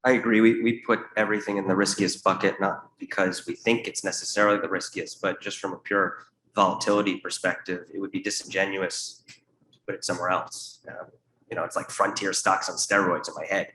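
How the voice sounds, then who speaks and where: A man's voice: sits low, little pitch movement, brisk, sharp tone. A young man speaking on a panel in a candid, casual way, often repeating words. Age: young adult. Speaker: family office investor, panelist. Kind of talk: conference panel remarks. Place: conference room with live audience. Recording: echoey room, faint hum.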